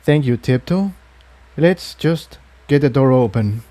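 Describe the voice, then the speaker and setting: A man plays a male unicorn pony in a storybook adventure. He is solemn and earnest, explaining things in a mellow voice, burdened by guilt. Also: medium pitch, animated, measured pace, lightly acted. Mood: guilt-ridden.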